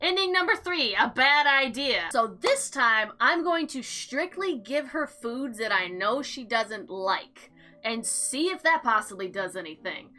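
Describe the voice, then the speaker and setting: A woman gives expressive commentary on a game she is playing. Her voice is slightly high and bright, with very lively pitch. She is informal and theatrical as she reads and reacts. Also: unhurried. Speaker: gaming video host. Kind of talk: game playthrough commentary with dramatic read-aloud.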